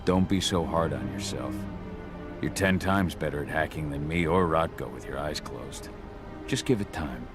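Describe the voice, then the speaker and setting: An actor playing a gruff, caring middle-aged father in a video game cutscene, speaking in a reassuring, low-key way. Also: moderate pace. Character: gruff, caring father and eco-warrior field agent. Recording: loud music behind the voice.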